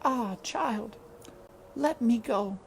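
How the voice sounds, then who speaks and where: A man voicing a weak, dying mother who speaks softly and gently, fading away. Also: extremely high pitch, drawn-out words, slight background noise, pitched above the performer's own voice, heavily acted. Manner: soft, breathy, fading.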